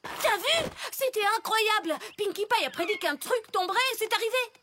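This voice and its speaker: A woman's voice: very high-pitched, moderate pace, sharp tone. An actress voicing an eager little baby dragon boy in a French cartoon dub, talking in a wide-eyed, eager way. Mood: amazed.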